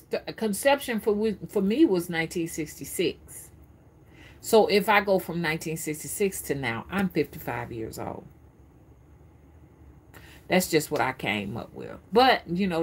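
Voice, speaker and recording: Husky, rambling woman in her fifties. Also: sits low, a bit bright. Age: 50s. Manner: rambling, conversational, stammers and repeats words. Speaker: spiritual reader streaming live. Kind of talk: casual live-stream talk.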